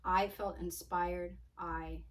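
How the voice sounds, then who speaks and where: A woman's voice: on the low side, level tone, slow pace, far from the mic. A woman hosting a podcast, enthusiastic and encouraging as she introduces her guest and asks questions. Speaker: podcast host. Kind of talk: casual interview hosting.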